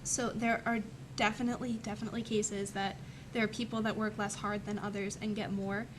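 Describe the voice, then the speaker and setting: A young woman student on a discussion panel, thinking aloud tentatively with pauses. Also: level tone. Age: teens to young adult. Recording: clear background noise and rumble.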